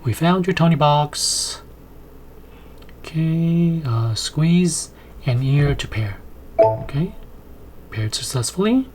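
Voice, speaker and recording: A man's voice: a little high, animated, takes it slowly, long pauses, a little mellow, a little hiss or hum. A man in his thirties giving casual, conversational unboxing and setup commentary as he thinks aloud in a room at home. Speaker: video host, product reviewer. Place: small home room, indoors.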